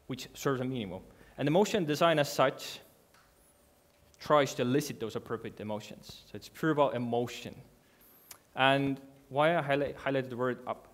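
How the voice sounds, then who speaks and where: Guy doing a conference talk in a big dark hall, earnest and kinda halting, lots of restarts. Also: medium pitch. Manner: earnest, halting, with restarts and non-native grammar. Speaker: conference speaker. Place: large dark hall with a seated audience.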